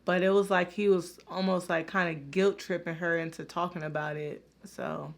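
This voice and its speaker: A woman with a fairly low voice talks loosely and conversationally to her audience as she reacts to a clip. Her sentences wander and restart, held together by easy fillers. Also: fairly even intonation, moderate pace, creaky. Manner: chatty, many fillers like "like" and "you know". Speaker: host reacting to a video. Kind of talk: casual reaction commentary to viewers.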